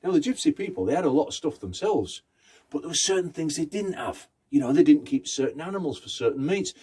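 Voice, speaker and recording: A man's voice: a little high, expressive, a little fast, a little mellow, husky. A man telling a folktale conversationally, in short phrases with rhythmic repetition. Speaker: Romani storyteller. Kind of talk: oral folktale storytelling.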